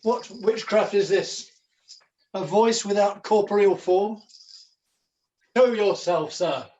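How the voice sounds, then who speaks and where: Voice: medium pitch, slow pace, a little mellow, muffled recording, natural voice. A performer playing a flustered, scheming jester in a pantomime, declaiming in florid mock-archaic language. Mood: defiant. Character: Jack Point, a wordy, scheming, flustered jester. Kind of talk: theatrical pantomime acting.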